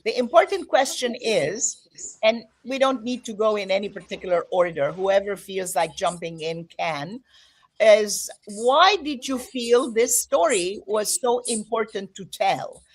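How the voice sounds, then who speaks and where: A woman's voice: mid-range voice, lively intonation, a little slow, low-quality recording. A woman hosting an online panel of filmmakers, guiding them with friendly instructions over a video call. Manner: friendly, directive, gives instructions. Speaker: festival host moderating filmmakers.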